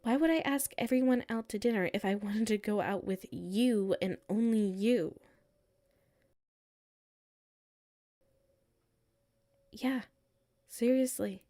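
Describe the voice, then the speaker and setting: A woman's voice: medium pitch, normal speed, dark tone, close to an everyday voice. A woman playing a hurt, defensive tsundere coworker with a secret crush in a roleplay audio, exasperated and emphatic, dropping into sulky murmurs. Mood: indignant. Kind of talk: roleplay audio acting, one side of a conversation.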